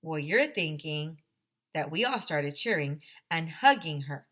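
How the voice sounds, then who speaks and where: A woman teacher reading a children's storybook aloud, animated and emphatic, speaking directly to her young listeners. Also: sits low, unhurried.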